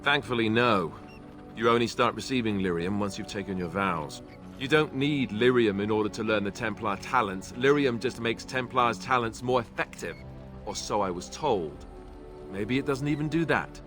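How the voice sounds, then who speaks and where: A sardonic fantasy-game knight, acted by a man, with a low voice. He explains things drily and pokes fun at himself as he goes. Music is clearly heard behind him. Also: normal speed, natural voice. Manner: dry, sarcastic asides. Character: wry young male ex-Templar warrior, sardonic, self-deprecating. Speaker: former Templar. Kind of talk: video game character dialogue.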